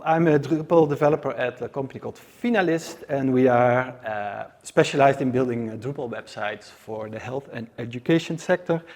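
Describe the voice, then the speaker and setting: A man's voice: medium pitch, creaky, darkish tone. A man from the Netherlands with a Dutch accent, giving a live conference talk to an audience in a hesitant way, with many filler sounds and restarts. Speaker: conference speaker. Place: conference room.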